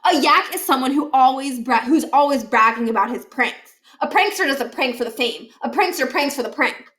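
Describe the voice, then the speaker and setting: Woman voicing a high-voiced know-it-all schoolboy. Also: lively intonation, moderate pace, a bit bright. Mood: lecturing, confident. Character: earnest, know-it-all schoolboy master prankster.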